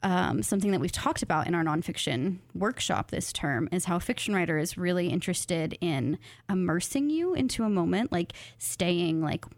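A woman speaking as a guest writer in a casual interview, thoughtful and self-deprecating, quick to laugh. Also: fairly low pitch, lively intonation, dry recording. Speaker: guest writer, graduate student of creative nonfiction.

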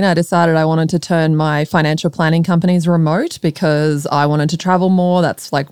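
A woman with an Australian accent, talking informally as a podcast guest and quick to laugh. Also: deep voice, darkish tone, clear, resonant tone, no room sound, studio quality. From Melbourne, Australia. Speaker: podcast guest, startup founder. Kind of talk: conversational interview.

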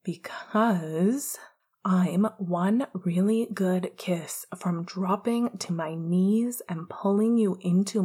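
A woman voicing a teasing, eager young man in love, speaking in a soft whisper. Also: mid-range voice, slightly rough.

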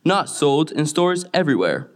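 A woman's voice: low-pitched, very animated, normal speed. A woman playing an upbeat announcer in a parody advertisement for a children's puppet show. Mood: salesy. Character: upbeat mock-commercial announcer peddling ice cream.

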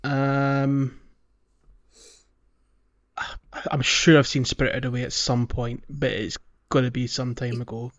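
A man's voice: medium pitch, little pitch movement, takes it slowly, pauses often, muffled recording. A man co-hosting a casual podcast, talking hesitantly as he thinks aloud. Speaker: podcast co-host.

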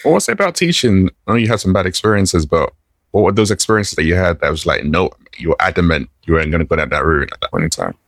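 Male host, deep-ish voice, curious interviewer, dry sound. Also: expressive, quick pace, vocal fry, a bit bright, no echo. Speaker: podcast host. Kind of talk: casual interview questions.

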